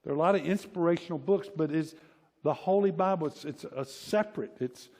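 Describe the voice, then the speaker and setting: Male preacher, middle-aged, Southern US accent, slightly high-pitched, informal storytelling delivery, long hall reverb. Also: a little fast, a little mellow. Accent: Southern American. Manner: folksy, informal, repeats phrases for emphasis. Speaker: pastor preaching. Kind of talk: conversational sermon with personal anecdotes. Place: church hall, preaching to a congregation.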